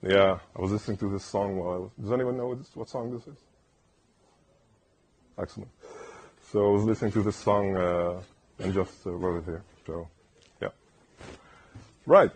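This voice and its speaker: A man with a soft, soothing voice gives a loose conference talk in a lecture room. His voice sits low and sounds very husky and breathy. He rambles and keeps asking the room "right?". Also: steady tone, moderate pace, long pauses. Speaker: conference speaker. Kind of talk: casual technical talk.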